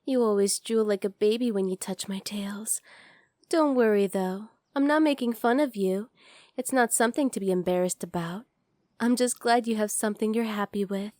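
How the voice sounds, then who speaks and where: A woman voicing a devoted, clingy kitsune fox spirit in an ASMR roleplay, speaking softly and intimately straight to the listener. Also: mid-range voice, dry recording, studio quality, close to an everyday voice. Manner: soft, tender, intimate, addressing the listener directly.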